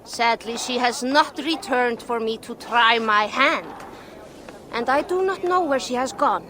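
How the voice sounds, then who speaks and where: An actress playing a dryly savage, cautious informant in a video game, speaking deliberately. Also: female voice, a little high, sharp tone, a little hiss or hum. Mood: bitter, vengeful. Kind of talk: video game cutscene acting.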